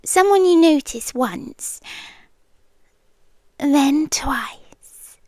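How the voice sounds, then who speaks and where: A British woman playing a shy, obsessive yandere girlfriend in an intimate ASMR roleplay, soft, breathy and hesitant, often slipping into a whisper. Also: sits high, animated, no echo, full character voice. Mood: dreamy, obsessive. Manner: slow, hesitant, confiding. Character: shy, obsessive young yandere girlfriend, sweetly intense and nervous.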